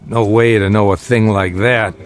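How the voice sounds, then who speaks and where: An actor in a radio drama playing a weary middle-aged father, gentle but guarded and measured in his delivery. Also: on the low side, fairly even intonation, soft background music, lightly acted. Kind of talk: naturalistic radio drama acting.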